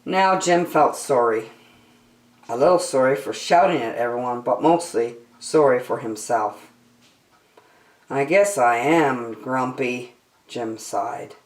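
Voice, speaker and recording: A woman reading a picture book aloud to children as a storyteller, in an expressive style. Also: low-pitched. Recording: roomy, echoey sound.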